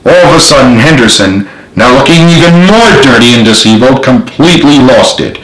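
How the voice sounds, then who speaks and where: A man's voice: medium pitch, lively intonation, moderate pace, fairly bright tone. Echoey male author narrating his story. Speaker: author reading own novel. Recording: roomy, echoey home recording.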